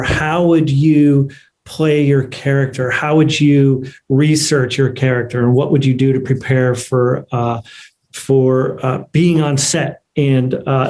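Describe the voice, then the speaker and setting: A man hosting a panel conversation on a video call, putting questions in halting, hesitant phrases. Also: medium pitch, a little mellow. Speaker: host moderating an actors' panel. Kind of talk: conversational event moderation.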